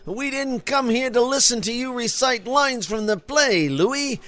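High-pitched, no-nonsense detective. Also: male voice, fairly bright tone. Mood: businesslike. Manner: brisk, businesslike. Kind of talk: comedy audio drama acting.

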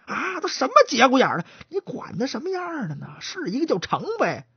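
A man's voice: very high voice, wildly swooping pitch, husky, no echo, dull audio, voice pushed up, exaggerated voice. A man voicing a brash, bossy constable who scolds and talks on and on. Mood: impatient. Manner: scolding, brash, fast talk. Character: bossy, quick-tongued chatterbox constable. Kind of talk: traditional storytelling, acted dialogue.

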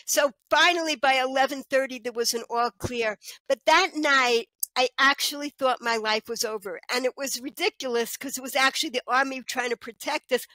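A woman's voice: high-pitched, moderate pace, hardly any pauses, no room sound, poor audio. A middle-aged woman on a video call through a headset mic, chatting animatedly as a guest and often saying "you know". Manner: animated, gestures a lot, lots of "you know". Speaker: guest, children's author. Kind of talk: casual video call chat. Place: small room at home.

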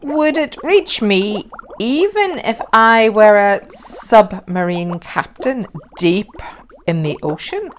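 A woman's voice: mid-range voice, animated, slow pace, a little hiss or hum. A woman voicing a curious, anxious little boy in a children's storybook, with emphatic, wondering delivery.

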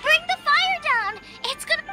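A woman playing a perky, excitable young girl in an anime-style video game. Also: extremely high pitch, moderate pace. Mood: panicked. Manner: perky, exclamatory. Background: game music.

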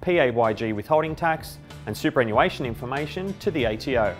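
A man's voice: mid-range voice, expressive, fast talker, faint music behind. A middle-aged man with an Australian accent, delivering a scripted explainer to camera as a company presenter. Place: indoor office by a window. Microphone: lapel mic.

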